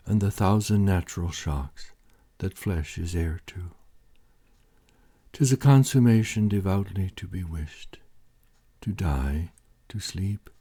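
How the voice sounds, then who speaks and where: A man reciting Shakespeare monologues in a slow, theatrical and deliberate way, with weighty pauses. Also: sits low, warm tone, husky.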